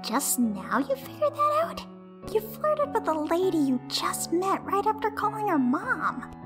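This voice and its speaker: A woman's voice: very animated, a little slow, music in the background, exaggerated voice. A woman voicing a bratty, whiny little talking flower in a cartoon comic dub. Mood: mocking. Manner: whiny, shrill complaining. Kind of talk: comic dub character acting.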